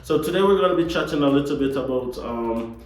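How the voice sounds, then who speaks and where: A man's voice: medium pitch, animated, fast. A man with a Jamaican accent from Jamaica, hosting a casual, joking interview chat. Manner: friendly, joking. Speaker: host. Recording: echoey room, far from mic.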